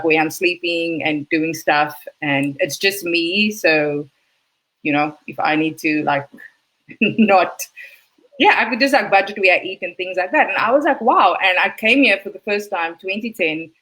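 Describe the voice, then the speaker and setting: A woman from South Africa with a South African accent, a guest in a casual interview, telling her story in a chatty, lively way. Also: on the low side, poor audio. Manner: chatty, animated storytelling with laughs.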